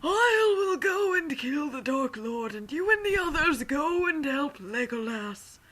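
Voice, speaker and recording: Old storybook wizard, done by a man: very high, strained, drawn-out, grave and theatrical. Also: very animated, pushed and bright, exaggerated voice. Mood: solemn, quavering.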